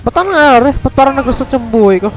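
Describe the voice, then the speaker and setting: A man's voice: very high voice, animated, very fast, faint music behind. A man with a Filipino accent giving casual, joking, slangy reactions as he streams a video game. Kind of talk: casual gameplay commentary.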